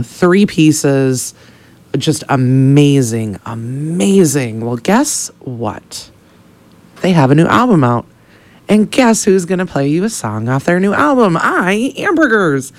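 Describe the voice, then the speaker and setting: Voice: medium pitch, lively intonation, measured pace, no echo. A radio host chatting casually on air, bubbly and joking, tripping over their own words. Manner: bubbly, joking, self-correcting. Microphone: studio microphone.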